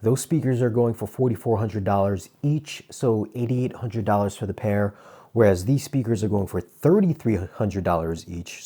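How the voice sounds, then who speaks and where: A man's voice: fairly low pitch, warm tone, slightly rough. A man presenting a product review in a casual, conversational way, explaining details as he goes. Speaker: audio gear reviewer.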